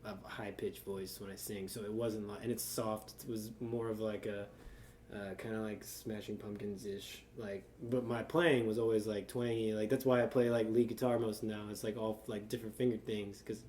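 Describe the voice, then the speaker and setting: A man's voice: fairly low pitch, level tone, normal speed, a little mellow, a little hiss or hum. A man, a guitarist guest on a podcast, chatting in a laid-back, slangy way. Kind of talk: casual interview chat.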